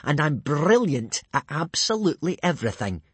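A man's voice: fairly high pitch, very animated, moderate pace, husky, no echo, pitched above the performer's own voice. A man voicing a boastful, sneering teenage Viking bully in a children's audiobook, drawling and self-satisfied. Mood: smug. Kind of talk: children's audiobook character acting.

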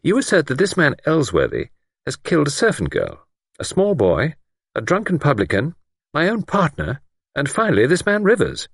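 Male reader voicing skeptical village doctor, dry, superior, raised pitch. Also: huge pitch swings, moderate pace, breathy edge, no room sound, exaggerated voice. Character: dry superior middle-aged country doctor.

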